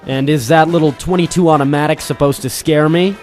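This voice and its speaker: A man's voice: a little high, steady tone, brisk, a little mellow, soft background music, close to an everyday voice. A man playing a persistent, wry ex-Marine private eye in an old-style detective radio drama, hardboiled and informal, narrating and talking in character. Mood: coaxing, wry. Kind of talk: 1940s detective radio drama, first-person narration and dialogue.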